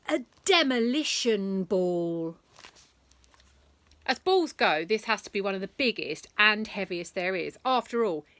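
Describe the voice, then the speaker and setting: A woman reads a bedtime story aloud to children, her voice bright and crisp. She takes an unhurried pace and leans into the drama, with playful asides along the way. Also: medium pitch, no echo. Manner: lively, dramatic storytelling with big emphasis and asides.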